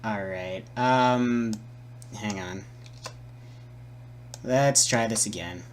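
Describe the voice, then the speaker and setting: Man, casual how-to presenter, slow pace, hesitant muttering, faint hum and clicks. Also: lowish voice. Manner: hesitant, muttering, repeating words while working. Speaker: tutorial presenter. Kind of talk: casual improvised software tutorial.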